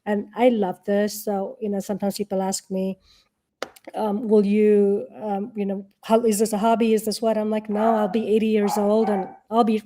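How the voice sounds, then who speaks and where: A woman's voice: medium pitch, level tone, moderate pace, warm tone, slight background noise. A middle-aged woman giving casual interview answers in a conversational way, often voicing imagined quotes to make her points. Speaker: interview guest, tech product leader and investor.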